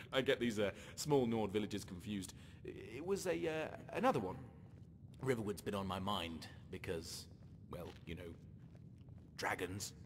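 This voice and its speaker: A sly scheming slaver-hunter. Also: male voice, fairly low pitch, in a large hall, slight background noise. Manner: wry, scheming, conversational. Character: sly male slaver-hunter rescuing his lover. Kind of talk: game character dialogue.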